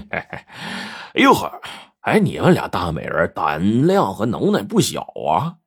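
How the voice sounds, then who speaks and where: A man voicing a menacing, gloating drug lord in an audio story, with a husky voice and swaggering, mock-friendly threats. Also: low-pitched, lively intonation, brisk, fairly bright tone, full character voice. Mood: sinister gloating.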